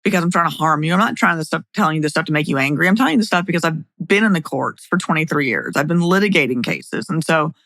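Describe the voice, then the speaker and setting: A woman's voice: deep voice, lively intonation, quick pace, few pauses, a bit bright, no room sound. A middle-aged woman speaking as a podcast host and attorney, in a blunt, plain-spoken way. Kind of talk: scripted narration and opinionated podcast talk.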